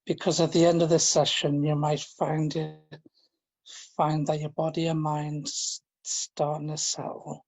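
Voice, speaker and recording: A man leading a guided relaxation, speaking in a gentle, informal and halting way, often repeating his phrases. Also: a little high, monotone. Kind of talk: guided relaxation, meditation instruction.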